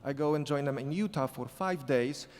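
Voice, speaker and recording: A man's voice: a little high, a little mellow. Guy with an accent telling a story on a panel, stops and restarts a lot, big echoey hall. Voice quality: non-native English accent. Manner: halting, many restarts and fillers. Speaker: sport psychology consultant on a panel. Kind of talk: storytelling conference panel talk. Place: conference hall.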